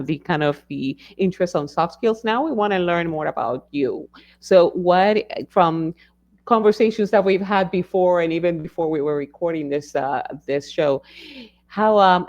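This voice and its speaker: A woman's voice: on the low side, darkish tone, lo-fi sound. A woman hosting a live podcast interview, talking in a loose conversational way with many fillers. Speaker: podcast host.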